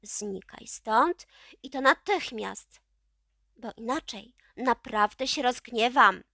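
Lady doing a bossy old head witch from a kids storybook, slow n emphatic, kinda high voice. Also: lively intonation, gravelly, sharp tone, no room sound, studio quality, exaggerated voice. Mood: angry command. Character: stern, commanding chief witch, old female.

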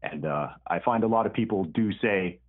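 A man's voice: fairly low pitch, normal speed. A man with a mixed British and American accent, answering interview questions in a laid-back, hesitant way, with lots of ums and repeated words. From born in the UK, raised in Saudi Arabia, Nigerian parents. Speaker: guest, rapper. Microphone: remote call line. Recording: tinny phone-like line.

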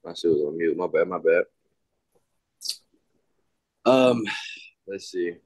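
A young man from the San Francisco Bay Area speaks up in a class held over a video call. His voice sits low and sounds dry and close. He talks in a blunt, slangy, animated way. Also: takes it slowly, no echo. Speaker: student. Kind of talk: casual class discussion.

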